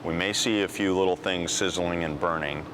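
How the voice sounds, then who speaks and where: A man explaining an experiment at an outdoor testing site, in a calm, dry manner. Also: low voice, moderate pace. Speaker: co-host. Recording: outdoor noise behind voice.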